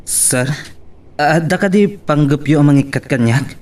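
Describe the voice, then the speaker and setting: Lalaking gumaganap bilang alanganing tauhang drayber. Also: male voice, a little high, a little slow, darkish tone, close to an everyday voice. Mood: uneasy. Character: hesitant, submissive male driver and henchman. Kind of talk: Ilocano radio love drama acting.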